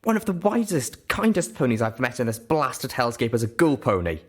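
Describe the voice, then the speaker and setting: A man's voice: mid-range voice, big pitch swings, moderate pace, breathy edge, pitched above the performer's own voice. A man voicing a young female pony, fiercely loyal and indignant, spitting the words out emphatically. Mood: angry, indignant.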